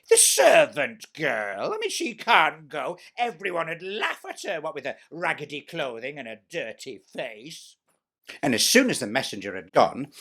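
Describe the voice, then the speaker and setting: Vain ugly stepsister, played by a man, pantomime storytelling, wildly swooping pitch, bossy, smug, exaggerated. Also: fairly bright tone, full character voice. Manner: self-satisfied, exaggerated, chatty. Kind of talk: comic pantomime storytelling monologue.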